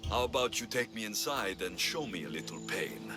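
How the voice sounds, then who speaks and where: Man playing a cocky, animated mercenary hero. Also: fairly low pitch, normal speed, lightly acted. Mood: flirtatious. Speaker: video game protagonist. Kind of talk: video game cutscene acting. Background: loud music.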